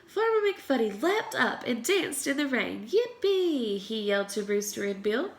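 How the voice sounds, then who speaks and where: A woman reading a children's storybook aloud in an expressive way, as a teacher does for young children. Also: on the high side, normal speed.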